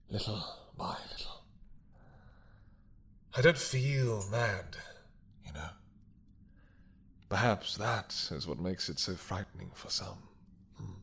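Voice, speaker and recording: An ancient dragon king, imprisoned and obsessed, acted by a man for an intimate audio roleplay. His voice sits fairly low and is very husky. He drawls slowly and hesitantly, slipping into murmured asides, seductive and teasing with menace underneath. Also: heavily acted. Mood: weary, coaxing, then dangerous, restrained. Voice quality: husky, breathy.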